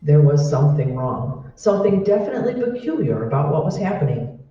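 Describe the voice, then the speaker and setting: A man's voice: fairly high pitch, sing-song, a little fast. A man playing the grave narrator of an eerie old-time radio mystery. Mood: ominous. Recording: echoey hall, distant mic, dull.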